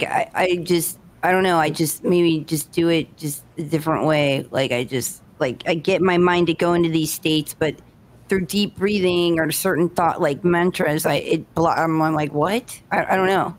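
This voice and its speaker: A young woman hosting a podcast, reacting quickly and stammering through restarts, speaking close to a studio mic. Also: on the low side. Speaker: podcast host. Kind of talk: casual conversation. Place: room with pink and purple lights.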